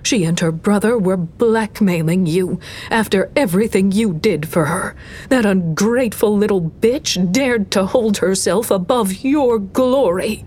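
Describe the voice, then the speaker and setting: A woman voicing a fervent, grovelling high priestess in audio fiction, pleading dramatically in a squeaky voice. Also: normal speed, a little mellow, a little hiss or hum, natural voice. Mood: venomous, fawning. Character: fawning, venomous, zealous high priestess, grovelling servant.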